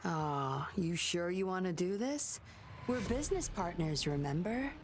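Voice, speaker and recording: Sly, low-voiced female undercover investigator. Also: expressive, a little slow, background noise. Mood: mock disappointed. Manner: coy, composed, teasing. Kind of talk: scripted video game voice acting. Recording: music under the voice.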